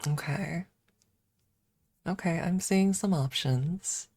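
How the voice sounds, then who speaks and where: A woman's voice: on the low side, slow pace, a little mellow, slightly rough, no room sound. A woman streamer chatting casually and informally in an ASMR livestream, thinking aloud close to the microphone. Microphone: close microphone adjusted for ASMR.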